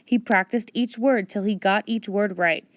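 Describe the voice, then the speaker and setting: A woman reading a storybook aloud with expressive children's narration. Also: mid-range voice, moderate pace, no room sound. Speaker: storybook reader.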